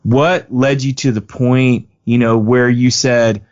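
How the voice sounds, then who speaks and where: A man's voice: mid-range voice, steady tone, takes it slowly, a little mellow. Guy podcast co-host, thoughtful rambling questions, kinda dull lo-fi audio. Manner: thoughtful, long winding questions. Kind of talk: interview questions.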